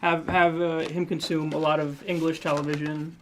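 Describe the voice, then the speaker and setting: A man's voice: a little high, level tone, normal speed, a bit bright, slight background noise. A young man from the Philippines, a livestream guest, chatting casually with dry jokes and a hesitant delivery. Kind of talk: casual chat.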